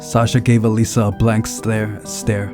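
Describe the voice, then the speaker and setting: A man reading a visual novel aloud as a narrated story, giving the characters voices, in a relaxed, unhurried way. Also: on the low side, music in the background.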